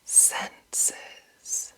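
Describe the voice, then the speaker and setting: A woman whispering in a breathy, unvoiced ASMR style, reading deliberately with pauses between short phrases. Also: bright, forceful tone. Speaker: ASMR host. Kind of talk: whispered ASMR reading of fantasy game rules.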